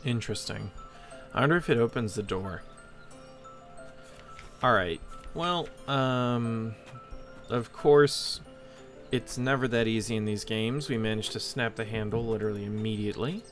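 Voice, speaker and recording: A man's voice: on the low side, measured pace, pauses often. A man giving casual let's-play commentary, musing aloud as he thinks through puzzles. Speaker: gaming host, player. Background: game music.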